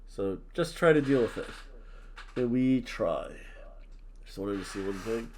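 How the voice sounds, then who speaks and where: A man's voice: lowish voice, lively intonation, a little slow, darkish tone, a little hiss or hum. A man from New Jersey, nasal and sniffly from allergies, rambling and joking as a podcast co-host while drinking. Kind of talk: casual chat.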